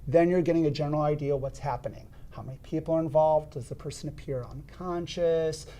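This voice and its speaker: Loud, emphatic man teaching CPR. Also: a little high, steady tone, fast. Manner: frequent restarts while explaining. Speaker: CPR instructor. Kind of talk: instructional explanation and demonstration. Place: podcast studio.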